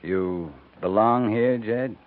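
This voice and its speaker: An actor playing a steady, weary US cavalry captain in a 1950s radio western, speaking in a calm, laconic, plain-spoken way. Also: male voice, fairly low pitch, slow. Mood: calm, reassuring. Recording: noisy, tinny 1950s radio recording.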